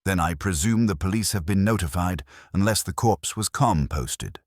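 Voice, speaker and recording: A man's voice: sits low, normal speed, dry recording, clean studio sound, pitched above the performer's own voice. A man voicing an imperious, pedantic detective in a mystery audiobook, speaking in terse, formal, pompous phrases. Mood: imperious, dry. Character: imperious, pedantic, heavyset middle-aged male genius detective.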